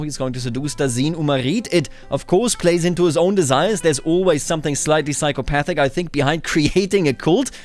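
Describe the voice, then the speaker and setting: Higher-voiced man musing over game commentary, music behind. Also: dry recording. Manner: musing, narrating the game as a story. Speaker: gaming video host. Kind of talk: casual roleplay gameplay commentary. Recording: music under the voice. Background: background music.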